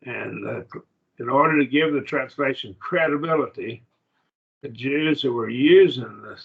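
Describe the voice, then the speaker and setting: Gravelly man teaching a Bible class. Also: mid-range voice, phone-quality sound. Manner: plain, halting teaching with restarts and asides. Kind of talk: lecture.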